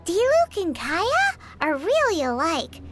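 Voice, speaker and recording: A woman's voice: extremely high pitch, huge pitch swings, slow pace, over loud music, full character voice. A woman voicing a tiny floating female fairy companion who chats cheekily and talks about herself in the third person. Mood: cheeky. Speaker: sidekick companion. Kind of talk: video game character banter.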